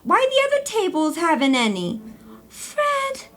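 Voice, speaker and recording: A woman's voice: very high voice, huge pitch swings, a little slow, fairly bright tone, heavily acted. A woman playing a touchy, jealous newlywed wife in a 1910s New York comedy audio play, talking in a dramatic, slangy way. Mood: coy, delighted. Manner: dramatic, slangy, easily indignant.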